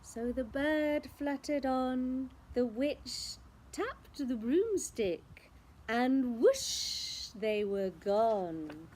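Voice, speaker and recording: A woman storyteller reading a picture book aloud in an expressive, theatrical way, drawing out words. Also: high voice, a little hiss or hum, rough recording. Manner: theatrical, with drawn-out words and sound effects. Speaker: children's storyteller.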